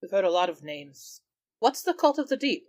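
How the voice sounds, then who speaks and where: Woman, role-playing gamer, casual discussion, average pitch, dry sound. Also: big pitch swings, normal speed, darkish tone, no room sound. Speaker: player in a tabletop role-playing game.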